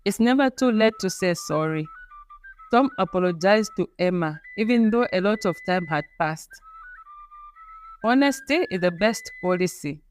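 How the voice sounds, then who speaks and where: A woman's voice: lowish voice, animated, moderate pace, faint music behind. A woman storyteller reading a scripted folktale in a plain, simple way, in short sentences.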